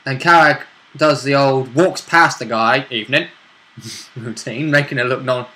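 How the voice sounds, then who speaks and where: A man chatting casually as a player co-hosting a tabletop game recap, jokey and quick to chip in. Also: medium pitch, bright, forceful tone. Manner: jokey, interjecting. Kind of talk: casual banter.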